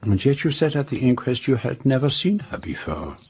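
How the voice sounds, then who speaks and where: Formal, shrewd foreign gentleman detective. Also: medium pitch, sing-song, husky. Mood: probing, measured. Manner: formal, precise, measured questioning. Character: shrewd, courteous, self-assured foreign male detective. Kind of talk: radio drama acting and first-person narration.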